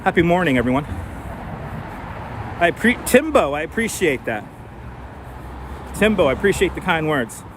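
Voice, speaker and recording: A man's voice: fairly high pitch, big pitch swings, normal speed, long pauses. Chatty man with a mild New York accent. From New York City. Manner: chatty, thinks aloud with fillers and restarts. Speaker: live stream host. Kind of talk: casual walking live stream, chatting with viewers. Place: outdoors on city streets. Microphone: wireless clip-on mic. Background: faint street traffic.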